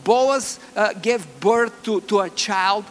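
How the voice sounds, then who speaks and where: High-voiced Bulgarian-accented preacher, slow. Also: male voice. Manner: earnest, halting with repeated fillers and restarts. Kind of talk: sermon. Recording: long reverb, faint ambient noise.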